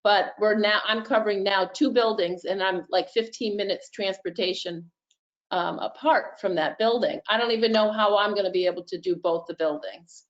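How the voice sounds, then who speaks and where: A woman speaks over dull online meeting audio in a middle pitch. She is a nurse chatting casually and frankly, with a slightly halting delivery. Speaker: school nurse on a panel.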